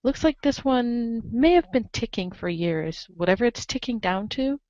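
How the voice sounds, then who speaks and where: A woman's voice: on the high side, lively intonation, normal speed, a little mellow, no room sound. A woman reading scripted narration aloud as the narrator of a group reading. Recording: dull lo-fi audio.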